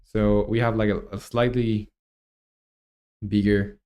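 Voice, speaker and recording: A man's voice: lowish voice, flat delivery, slow, a little mellow. A young man from Chile in his twenties, chatting casually while he works on a game and reads chat aloud, with lots of fillers and restarts. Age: 20s. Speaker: game dev streamer.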